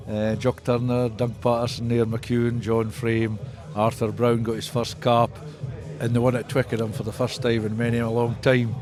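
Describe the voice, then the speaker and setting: An older man from the Scottish Borders, with the accent to match, talks at an average male pitch. He answers as an interviewed club historian, recounting the past conversationally. Faint music sits behind him. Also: darkish tone.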